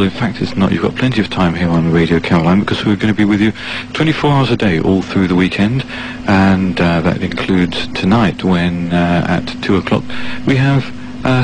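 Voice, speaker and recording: A man working as a radio DJ, announcing records in a measured, slightly hesitant way on a 1980s radio recording. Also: low-pitched, noisy background. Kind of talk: music radio presenting. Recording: 1980s off-air radio aircheck.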